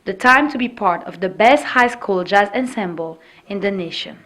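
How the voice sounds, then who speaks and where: A woman's voice: medium pitch, normal speed, heavy background noise. A woman reporter reading scripted documentary narration.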